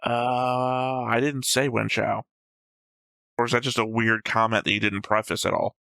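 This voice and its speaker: A man's voice: medium pitch, little pitch movement, measured pace, vocal fry, sharp tone, no echo, clean studio sound. A man co-hosting an anime reaction show, talking through episode notes casually and bluntly, with some swearing.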